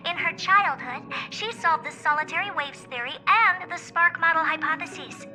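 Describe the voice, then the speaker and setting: A woman's voice: very high-pitched, normal speed, bright, forceful tone, heavily acted, rough recording. A woman voicing a proud, eccentric, youthful female genius scientist in a video game, self-assured and theatrical, delivering scripted monologues. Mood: proud, matter-of-fact. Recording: music behind the voice. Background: music.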